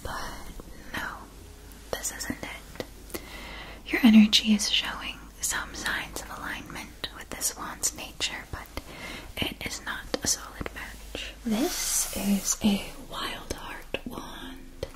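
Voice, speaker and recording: Woman playing a slow, whispering witch wandmaker. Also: medium pitch, expressive, low-quality recording. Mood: gently coaxing. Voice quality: breathy whisper. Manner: hushed, soothing, unhurried, deliberate. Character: gentle, attentive witch wandmaker serving a young student. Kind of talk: ASMR roleplay, whispered.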